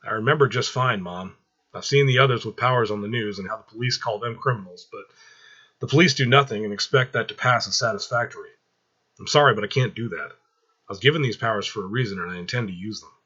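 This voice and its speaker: Man acting out a brash, hot-headed teenage boy, bright voice. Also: lowish voice, quick pace, slightly rough, highs cut off. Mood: determined. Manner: blunt, cocky, slangy.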